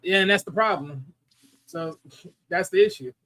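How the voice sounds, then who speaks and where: A man's voice: sits high, moderate pace, fairly bright tone. A man guesting on a casual podcast, blunt, profane and mocking. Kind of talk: casual chat.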